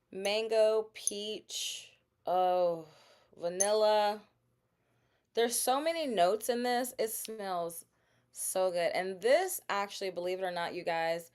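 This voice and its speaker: A woman's voice: mid-range voice, clean tone. A woman hosting a casual live sales stream, talking directly to her viewers and quizzing them on her products. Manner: chatty, addresses viewers directly, asks quiz questions. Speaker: livestream host selling bath products.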